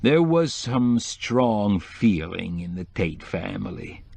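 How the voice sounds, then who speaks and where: A man's voice: medium pitch, moderate pace, no room sound, voice pushed up, close to an everyday voice. A man voicing a witty, flamboyant decorator in an audiobook, sprinkling French phrases into hip slang. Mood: careful. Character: flamboyant, witty male interior decorator, sly and gushing. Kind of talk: acted audiobook dialogue.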